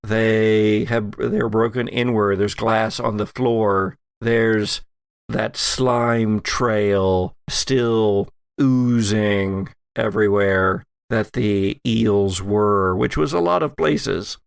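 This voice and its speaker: Slow-paced man narrating, slightly gravelly. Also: fairly low pitch, no room sound. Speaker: game master. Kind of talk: narrating an audio drama tabletop game.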